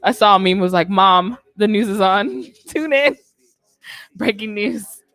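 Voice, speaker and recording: A woman's voice: mid-range voice, a little slow, a bit bright, dry recording. Young woman hosting a casual chat show. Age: young adult. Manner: informal, rambling, uses slang and "like". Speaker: radio show host. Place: indoor studio with curtains. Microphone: close studio microphone on boom arm, headset on.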